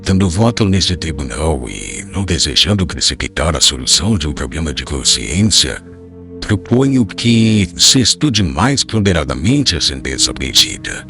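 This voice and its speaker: A man playing a venerable, prudent old rabbi in an audiobook drama, speaking in a solemn, measured and formal way. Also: very deep voice, heavily acted. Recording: prominent background music.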